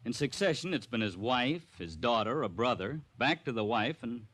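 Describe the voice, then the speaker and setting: An actor playing a businesslike American insurance man in an old-time radio drama, briefing in a brisk, matter-of-fact manner. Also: medium pitch, animated, fairly bright tone. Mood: matter-of-fact, uneasy.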